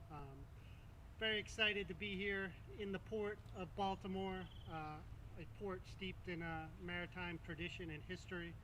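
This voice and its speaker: High-pitched, halting man giving a speech. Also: noisy background. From East Hampton, New York. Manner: halting, frequent pauses and throat clearing. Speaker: Coast Guard captain, guest speaker. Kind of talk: semi-formal ceremony speech.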